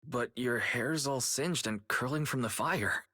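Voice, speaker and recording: An actor voicing an earnest, caring young guide in a video game, speaking expressively with some hesitance and a gently teasing streak. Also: mid-range voice, measured pace, dry recording, clean studio sound. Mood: concerned. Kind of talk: dramatic video game voice acting. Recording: music behind the dialogue.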